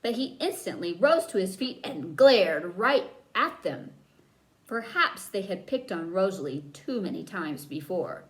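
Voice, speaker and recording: Expressive woman reading a children's story. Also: medium pitch, low-quality recording. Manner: dramatic emphasis, drawn-out key words. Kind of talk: expressive read-aloud narration for children.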